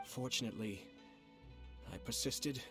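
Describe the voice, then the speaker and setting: A man's voice: mid-range voice, over music, lightly acted. A man voicing a composed, courteous young noble clan head in a video game, speaking formally. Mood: solemn, resolute. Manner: formal, measured, polite.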